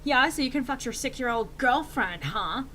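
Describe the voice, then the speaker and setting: An indignant goth teenage girl from a melodramatic story, acted out by a woman reader. She shouts in a high, wildly swooping voice, with some noise in the recording. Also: lively intonation, moderate pace. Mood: sarcastic, angry.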